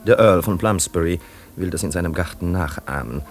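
An actor playing a wealthy, self-important, uneasy party host in a 1950s German radio play, earnest and touchy. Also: male voice, low voice, a little fast, a little hiss or hum.

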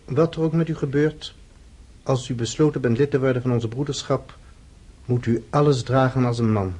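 An actor playing a stern, ceremonious young count and freemason sponsor in a radio drama, solemn and formal. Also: male voice, medium pitch, steady tone, normal speed, darkish tone, breathy edge, close to an everyday voice.

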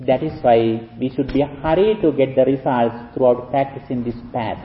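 Sri Lankan monk preaching slowly. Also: male voice, mid-range voice, a little hiss or hum. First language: Sinhala. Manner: slow, halting speech with many fillers. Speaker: Buddhist monk. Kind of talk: dharma talk with interpreter. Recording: dull lo-fi recording.

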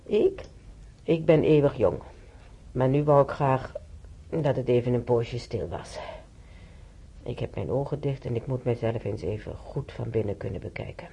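A woman's voice: rumbling low, normal speed, creaky, mellow, husky, heavily acted. An actress playing a wry, chatty old woman who is a hospital patient in a radio play. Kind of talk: naturalistic radio play acting.

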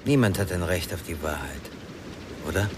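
A man's voice: deep voice, animated, normal speed, noisy background. An actor playing an enigmatic, melancholy, philosophical man who answers evasively and laconically in a radio crime drama. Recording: loud music under the voice.

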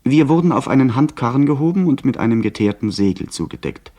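An actor playing a young gentleman who narrates an adventure tale in a radio play. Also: mid-range voice, animated, fast, lightly acted. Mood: grave, recounting. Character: young gentleman narrator recounting his abduction by pirates. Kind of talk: scripted dramatic narration, 1970s radio play.

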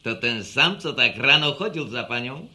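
An actor playing a jovial, scheming, hard-drinking villager in a radio drama, chuckling and teasing in a folksy way. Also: male voice, medium pitch, animated, normal speed, bright, forceful tone. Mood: amused, scheming.

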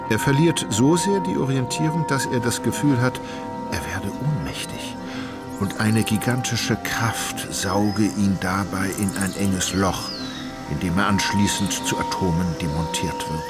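Male radio play narrator, lowish voice, measured literary delivery, prominent music behind. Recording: loud music and background effects.

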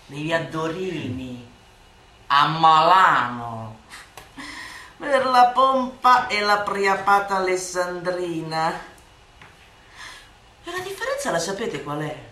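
A woman performing a satirical literary monologue as radio theatre, in a declamatory, mocking manner. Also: mid-range voice. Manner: declamatory, mocking, swinging between hushed asides and shouted tirades. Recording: very echoey radio theatre, distant, loud music. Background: loud music and percussion.

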